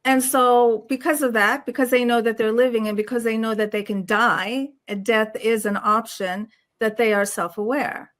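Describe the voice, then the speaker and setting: Woman, somewhat high pitch, podcast host, casual conversational interviewing. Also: steady tone, moderate pace, few pauses, fairly bright tone.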